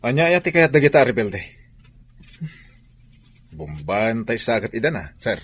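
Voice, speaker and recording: A cocky young Filipino soldier for radio drama. His rough-edged, husky voice swings widely in pitch as he banters playfully like a best buddy. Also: moderate pace. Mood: alert. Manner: animated, bantering.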